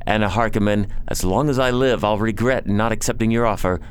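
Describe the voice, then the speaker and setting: A man's voice: on the low side, steady tone, fairly quick, slight background noise, natural voice. An actor playing a nobleman's loyal friend, earnestly explaining bad news in a science fiction audio drama.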